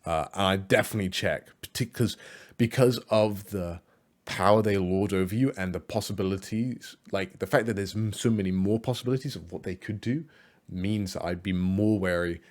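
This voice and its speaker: A man's voice: fairly low pitch, moderate pace. A man giving casual, rambling reaction commentary on other creators' videos, with many fillers and restarts.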